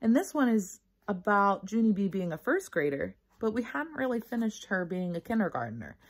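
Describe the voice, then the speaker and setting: A woman's voice: medium pitch, very animated, normal speed, breathy edge. A woman reading a children's storybook aloud expressively and explaining things to young listeners. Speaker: storybook reader.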